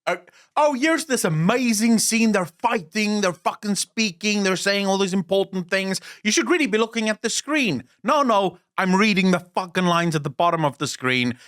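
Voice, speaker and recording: A man whose first language is Afrikaans rants bluntly and profanely as a podcast co-host, his voice swinging widely in pitch. He sits on the higher side for a man. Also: expressive, moderate pace, a bit bright. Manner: blunt, profane, opinionated ranting. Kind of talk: casual gaming podcast chat.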